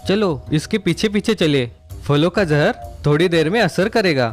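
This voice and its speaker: Man acting as scheming young village crook, higher voice, gloating, storybook dialogue, light music behind. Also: lively intonation, moderate pace, lightly acted. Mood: eager, watchful. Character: greedy, scheming idle young village thug. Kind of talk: acted moral story dialogue.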